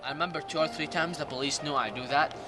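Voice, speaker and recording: A man in his late teens from Iraq with a Middle Eastern accent gives personal testimony in halting, non-native English. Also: on the high side, background noise. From Iraq, Jordan and Syria. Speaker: documentary interview subject. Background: music.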